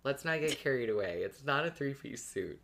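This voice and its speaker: A woman's voice: a bass voice, expressive, brisk, gravelly, a bit bright. A woman co-hosting a casual podcast discussion, amused and feeling her way through her phrases. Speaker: podcast co-host. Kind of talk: casual fan discussion chat.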